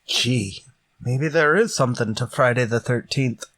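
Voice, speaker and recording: A man's voice: medium pitch, a little slow, a bit bright. A man playing Stretch, a dim, good-natured teenage schoolboy with earnest delivery in an old radio sitcom style. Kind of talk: 1940s radio sitcom acting.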